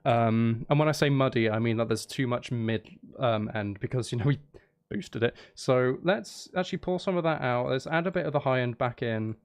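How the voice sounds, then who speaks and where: A man's voice: mid-range voice, normal speed, dry recording. A man streaming casually as he makes music, thinking aloud in a playful, rambling way. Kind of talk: casual livestream chat while making music. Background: electronic music being composed.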